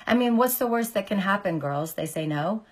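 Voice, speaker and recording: A woman in her forties, a makeup artist, chatting through a casual makeup tutorial on a phone camera, her voice deeper as she recovers from illness. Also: expressive, normal speed, breathy edge. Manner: chatty, filler-heavy, greets viewers by name. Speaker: makeup artist and beauty seller. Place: small indoor room. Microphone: phone camera at arm's length.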